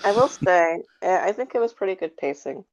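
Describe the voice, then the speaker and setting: A woman's voice: on the low side, animated, fairly quick, darkish tone, poor audio. A woman chatting casually as a guest on a podcast.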